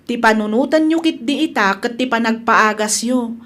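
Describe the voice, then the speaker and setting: Radio drama younger daughter, woman performer: slightly high voice, Ilocano accent, protective, earnest, gently firm. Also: moderate pace, close to an everyday voice.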